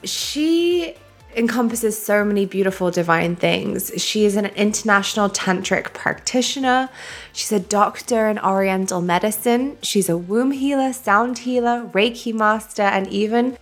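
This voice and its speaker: A woman's voice: medium pitch, unhurried, soft background music. A British woman hosting a podcast in an effusive, gushing manner, a little sniffly from a cold. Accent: British English. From England. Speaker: podcast host. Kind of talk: casual interview chat.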